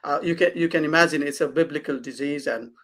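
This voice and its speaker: A man's voice: a little high, fast, a bit bright. A man from Nepal, a guest consultant, answering interview questions hesitantly with many fillers and restarts.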